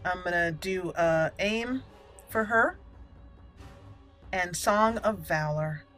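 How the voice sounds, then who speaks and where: A woman's voice: fairly low pitch, takes it slowly, over music. A woman giving casual let's play commentary as a channel host, thinking aloud and repeating words as she goes.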